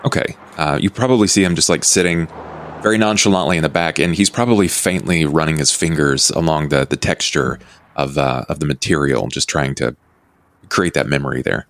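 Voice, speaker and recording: Very deep-voiced man, roleplay player. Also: a little fast, fairly bright tone. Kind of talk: in-character description in casual talk.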